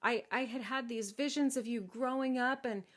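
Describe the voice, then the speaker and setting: A woman's voice: a little high, steady tone, measured pace, a bit bright, voice pushed up. A woman voicing a sad, loving old king and father in a tearful, sentimental manner for a told tale. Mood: tender grief.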